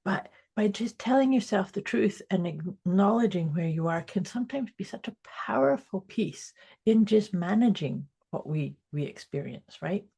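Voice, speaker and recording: A woman co-hosting a podcast in casual chat, encouraging and soft at phrase ends. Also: mid-range voice, animated, moderate pace, vocal fry, slightly rough. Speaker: podcast co-host.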